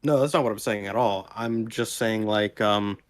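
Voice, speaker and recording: A man co-hosting a casual podcast, speaking in a halting way as he searches for words. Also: lowish voice. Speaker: podcast co-host.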